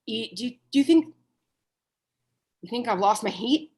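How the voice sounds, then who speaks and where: A woman's voice: fairly high pitch, animated, darkish tone. A woman reading the part of a brash, foul-mouthed, washed-up male Hollywood agent at a comedy table read. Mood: stubborn, defiant. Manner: blunt, profane, halting when cornered.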